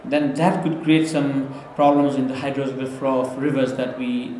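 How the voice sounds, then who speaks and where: A man's voice: mid-range voice, flat delivery, moderate pace, darkish tone, a little hiss or hum. A man from Nepal, speaking earnestly as a government delegate in an interview, often restarting words. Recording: echoey room.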